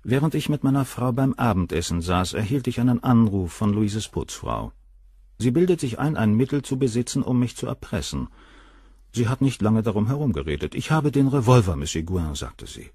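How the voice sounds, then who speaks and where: A man's voice: medium pitch, very animated, moderate pace, darkish tone, slightly rough, no room sound, studio quality. An actor playing a proud, coldly condescending male surgeon in a crime radio play, speaking in a composed, articulate way. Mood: cool, condescending. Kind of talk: German radio crime drama acting.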